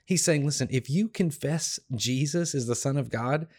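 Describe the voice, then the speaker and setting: A slightly hoarse, tired man hosting a devotional podcast, teaching a Bible passage in an earnest, encouraging way with emphatic repetition. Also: medium pitch, normal speed, darkish tone. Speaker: podcast host.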